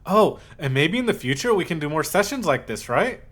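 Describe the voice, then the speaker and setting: A man's voice: fairly high pitch, sing-song. Man acting as a clingy, obsessive lovesick yandere. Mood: giddy, excited. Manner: halting, cooing endearments, swings from hushed to insistent. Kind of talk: scripted ASMR roleplay monologue.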